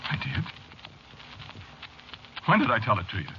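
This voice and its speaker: A man's voice: lowish voice, very animated. Scared guy coming apart in an old radio horror show, voice swings all over, muffled and hissy. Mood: confused, scared. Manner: agitated, halting, pleading. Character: frightened, unraveling man haunted by the number three. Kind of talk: old-time radio horror drama acting, first-person narration. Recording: noisy, muffled old radio drama recording.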